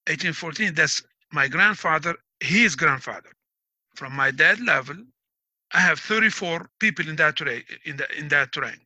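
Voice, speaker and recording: A man's voice: a little high, animated, moderate pace, pushed and bright, no room sound. A middle-aged man from Algeria, talking in an interview. He explains things like a teacher, proud and emphatic. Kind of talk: casual interview talk.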